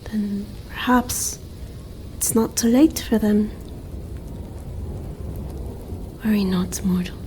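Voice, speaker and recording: Woman playing a kindly winter spirit, ASMR roleplay, mellow and soft, formal archaic diction, measured delivery, background ambience. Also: mid-range voice, slight background noise, lightly acted. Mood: gentle, solemn. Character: calm, kindly female winter spirit guarding her snowy mountain land.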